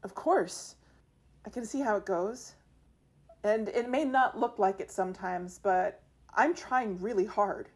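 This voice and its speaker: An actress playing a tense, apologetic group member who talks hesitantly and placatingly in an audio drama. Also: female voice, medium pitch, measured pace, slightly rough. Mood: anxious to please. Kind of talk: naturalistic audio drama acting.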